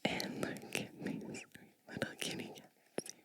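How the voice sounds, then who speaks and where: A woman whispering gently and breathily close to the microphone, reading a storybook aloud with chatty asides in an ASMR style. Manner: slow, gentle, hushed delivery with chatty asides.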